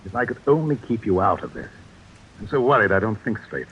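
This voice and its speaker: A solicitous, nervous doctor in old radio suspense style. His voice sits on the low side and he speaks with worried hesitation. The recording is muffled, with faint noise. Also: sing-song, fairly quick. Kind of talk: 1940s radio suspense acting. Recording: dull, slightly noisy vintage radio recording.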